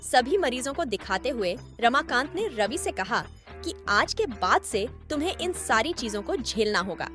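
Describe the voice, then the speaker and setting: A woman's voice: fairly high pitch, very animated, normal speed, a bit bright, soft background music. A woman reading a scripted horror story as its narrator.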